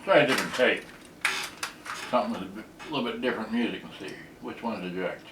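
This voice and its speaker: Distant, roomy man over loud music. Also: lowish voice, quick pace, background noise. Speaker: video creator demonstrating a cassette radio. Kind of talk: casual unscripted product demo. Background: loud music from cassette radio.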